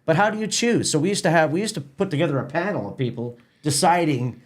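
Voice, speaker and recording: A man's voice: on the high side, lively intonation, fast talker. A middle-aged man with a Canadian accent, giving a casual interview as a theatre company president, often repeating words as he explains.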